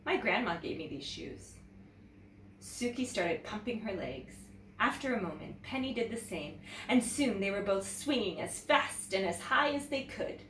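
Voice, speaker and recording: A woman teacher reading a children's story aloud in an expressive, animated way, pausing to check in with listeners. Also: medium pitch. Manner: expressive, pauses to ask listeners questions. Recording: roomy echo, distant mic, faint noise.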